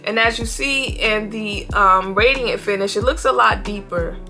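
A young woman with a bright, clear voice and a slight sniffle from a cold, chatting casually through a makeup demo. She speaks in a relaxed, friendly way straight to the camera from her bedroom, with soft music underneath. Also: medium pitch, normal speed. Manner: relaxed, chatty, addresses viewers as y'all. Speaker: beauty vlogger. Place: bedroom, indoors, seated before a headboard.

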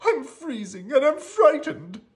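A small, timid female wish-granting creature from a picture book, acted out by a woman reading aloud. The voice sits very high and swoops about anxiously. It is meek and hesitant, repeating itself as it frets. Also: wildly swooping pitch, unhurried, a little mellow. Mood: frightened. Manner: nervous, hesitant, repeating herself. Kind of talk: acted children's storybook reading.

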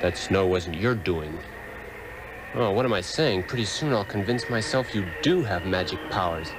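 An actor playing an arrogant, bitter surgeon in an old radio drama, haughty and dismissive. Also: male voice, deep voice, lively intonation, normal speed, background noise. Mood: scornful. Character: arrogant, bitter male surgeon, cold and scornful. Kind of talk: 1960s radio drama acting.

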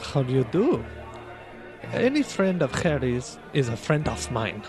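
A man's voice: medium pitch, very animated, measured pace, over music. An actor playing an evasive friend of a dead man in a radio drama, speaking dramatically and emphatically. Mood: cordial. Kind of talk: old-time radio drama acting.

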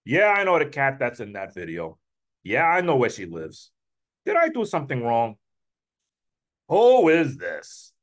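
A man voicing an excitable, starstruck adult uncle with a Brooklyn accent, reading a children's book aloud. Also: mid-range voice, unhurried, pauses often. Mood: anxious, puzzled. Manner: excitable, repetitive, incredulous.

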